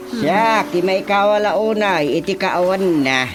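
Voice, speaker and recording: An old village father in a radio comedy drama, with a Filipino accent. He grumbles and exclaims in a fairly high voice that leaps around, over faint music. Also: animated, a little slow. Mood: animated grumbling.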